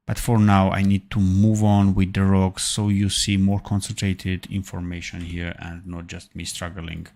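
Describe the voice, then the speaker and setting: Low gravelly man from Armenia, slow halting livestream tutorial, keyboard clicking. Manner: thinking aloud, halting with fillers and self-corrections. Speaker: animation tutor. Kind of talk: live-streamed software tutorial.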